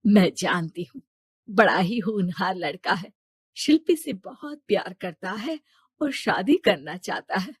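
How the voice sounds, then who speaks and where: Woman playing a gentle, supportive mother. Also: mid-range voice, expressive, measured pace, breathy edge, dry recording, studio quality, pitched below the performer's own voice. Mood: persuasive. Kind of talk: animated moral-story character acting.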